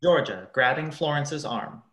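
A woman's voice: low-pitched, expressive, measured pace, fairly bright tone. A woman narrating a radio play, reading scripted stage directions. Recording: echoey room.

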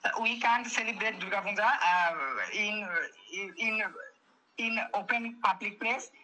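A woman's voice: medium pitch, steady tone, measured pace, bright, forceful tone, low-quality recording. A woman from Bangladesh with a Bangladeshi accent, a phone caller speaking hesitant, broken English with many fillers and restarts. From Bangladesh (Chattogram). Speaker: phone caller, local witness. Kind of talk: halting phone interview, partly read from a script.

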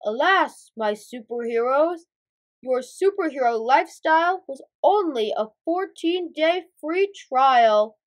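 A woman acts out a wise storybook superhero chief, a man of grand and solemn manner. The delivery is measured and knowing, with a touch of amusement and slow, deliberate pacing. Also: high-pitched, animated. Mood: calm, knowing. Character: Big Boss, calm amused wise male superhero chief.

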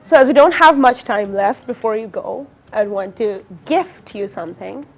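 Bubbly high-voiced Pakistani woman host. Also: expressive. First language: Pashto. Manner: bubbly, laughs often, teaches words slowly. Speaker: morning show host. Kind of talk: casual TV interview. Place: TV studio set.

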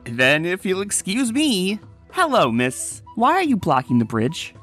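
A woman's voice: on the low side, huge pitch swings, unhurried, fairly bright tone, soft background music, full character voice. A woman voicing a small, plucky and helpful desert creature in a cartoon comic dub, earnest and encouraging. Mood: friendly.

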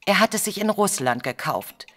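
Eine Schauspielerin spricht eine vornehme, diskrete, besorgte viktorianische Ehefrau, die als Klientin in einem deutschen Hörspiel förmlich und gemessen berichtet. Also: female voice, medium pitch, expressive, fairly bright tone. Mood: worried, earnest. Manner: formal, measured storytelling. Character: proper, discreet Victorian married lady, troubled client. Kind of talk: German audio drama acting.

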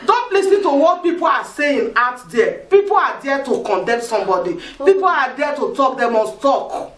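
A woman's voice: high-pitched, expressive, moderate pace. A woman playing a pious friend, preaching comfort. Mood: consoling. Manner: preachy, insistent, repeats phrases. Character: devout woman consoling a weeping childless friend. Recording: echoey room, voice from far off.

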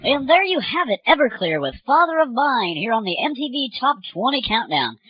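Guy doing a cheesy peppy male music tv host, high bouncy voice, kinda lo-fi. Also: sing-song, normal speed. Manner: slick, over-peppy. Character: chirpy, smarmy male music-TV countdown host. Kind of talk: parody TV presenter patter. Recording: muffled lo-fi audio over music.

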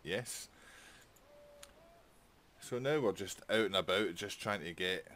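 A man's voice: low voice, takes it slowly, a bit bright. A man giving casual let's play commentary, chatting and thinking aloud as he plays. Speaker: gaming channel host.

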